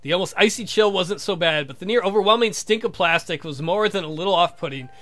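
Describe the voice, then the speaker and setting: A man hosting a let's play, narrating a visual novel aloud in an expressive, jokey way. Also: high-pitched, quick pace, soft background music.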